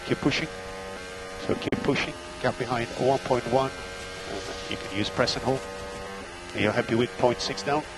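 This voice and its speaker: A man's voice: medium pitch, moderate pace, pauses often, noisy background. A man working as a race engineer, giving clipped, matter-of-fact instructions and gap updates over team radio, often repeating key points.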